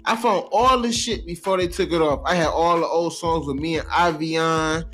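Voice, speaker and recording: High-voiced American man chatting on a podcast. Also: moderate pace, faint music behind. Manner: animated slangy reminiscing, swears freely. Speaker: podcast host. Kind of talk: casual podcast chat.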